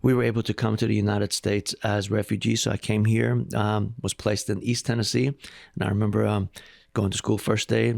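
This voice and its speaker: A man's voice: fairly low pitch, little pitch movement, moderate pace, vocal fry, darkish tone, dry recording, clean studio sound. A middle-aged man from Iran, a hospital president, telling his life story in an earnest, reflective interview. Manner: earnest, reflective, speaks with conviction.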